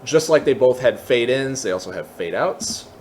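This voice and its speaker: A man with a mid-pitched voice talks through a software tutorial in a casual, explanatory way. He works it out aloud as he goes, unscripted. There is faint noise behind his voice. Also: animated, moderate pace. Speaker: tutorial presenter.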